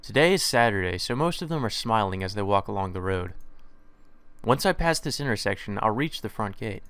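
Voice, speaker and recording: A man's voice: on the low side, lively intonation, brisk, fairly bright tone, clean tone, no echo. A young man reading a visual novel aloud as a let's play narrator, earnest and often correcting himself mid-line. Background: guitar music.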